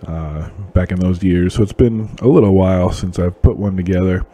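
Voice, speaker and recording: A man's voice: deep voice, dark tone. A man giving casual, conversational voice-over commentary as an illustrator hosting a drawing channel. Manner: informal, conversational. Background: music.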